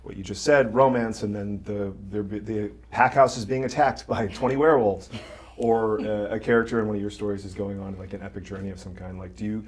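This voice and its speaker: Man, lowish pitch, raspy tone, podcast co-host, casual, conversational. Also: darkish tone. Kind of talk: casual interview chat.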